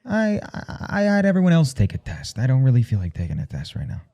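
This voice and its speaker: A man playing a flustered, jealous professor in an intimate audio roleplay, speaking haltingly and gruffly with a gravelly voice and plenty of swearing. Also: medium pitch, wildly swooping pitch, warm tone. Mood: flustered, defensive. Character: jealous, flustered male professor smitten with his teaching assistant.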